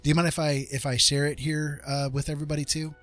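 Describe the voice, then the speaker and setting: A man's voice: a little high, level tone, fast talker, a bit bright. A man hosting a livestream in casual chat with viewers, effusive with his thanks. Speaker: livestream host. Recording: music playing behind the voice. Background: music.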